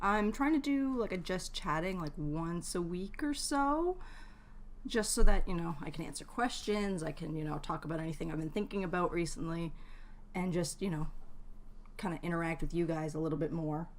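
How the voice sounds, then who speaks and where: Female game streamer, somewhat low-pitched, relaxed informal chat with viewers, prone to giggling. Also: moderate pace. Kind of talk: casual livestream chat with viewers. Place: at home, in a shared space.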